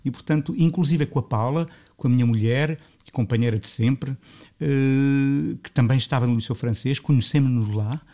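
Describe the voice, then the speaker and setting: A middle-aged man from Lisbon with a Lisbon Portuguese accent, talking as a composer in a radio interview. He is thoughtful and often restarts his phrases. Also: normal speed. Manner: thoughtful, restarts phrases, rises in pitch when animated. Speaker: composer guest.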